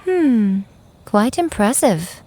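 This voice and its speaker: A woman's voice: a little high, sing-song, mellow, a little hiss or hum. Poised, sly shrine lady. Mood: amused, composed. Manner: measured, refined, coy. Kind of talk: video game dialogue.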